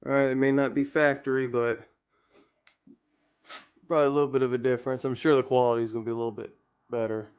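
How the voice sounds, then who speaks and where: A man's voice: medium pitch, flat delivery, quick pace, pauses often, smooth, highs cut off. A man giving a casual hands-on tutorial, rambling a little as he thinks aloud while working. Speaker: DIY car audio vlogger.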